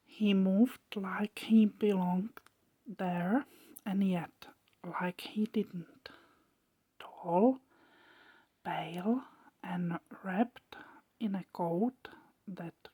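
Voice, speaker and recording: A woman narrating a story as ASMR in a soft, hushed whisper, breaking it into short, deliberate phrases. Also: mid-range voice, breathy edge.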